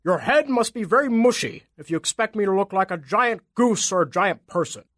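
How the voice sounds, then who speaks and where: A man voicing a huge, grumpy giant apple monster in a storybook tale, with a wet, grainy, roaring voice and a slow, scornful manner. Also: sits high, lively intonation, a bit bright, dry recording, clean studio sound, pitched above the performer's own voice. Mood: roaring, menacing.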